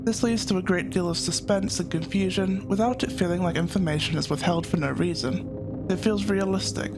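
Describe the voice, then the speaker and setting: Guy doing a video essay voiceover, kinda high voice w lots of fry, explains stuff in a measured way, music behind him. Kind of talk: scripted analytical narration.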